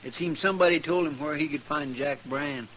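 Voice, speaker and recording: An American actor playing a loyal, fretful frontier deputy with folksy, rustic speech in an old-time radio western. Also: male voice, fairly high pitch, fairly quick, fairly bright tone, natural voice, rough recording. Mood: chatty. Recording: vintage radio recording with faint noise.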